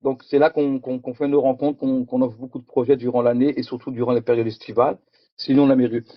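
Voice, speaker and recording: A man's voice: mid-range voice, level tone, fast talker, dry recording, muffled recording. A middle-aged man from France giving a conference talk with slides, speaking hesitantly and repeating words. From France, Picardy. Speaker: conference presenter.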